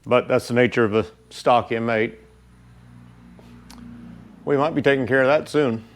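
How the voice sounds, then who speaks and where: A man giving a casual product review as a motorcycle vlogger, speaking informally and haltingly. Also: medium pitch. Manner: informal, halting, unhurried delivery.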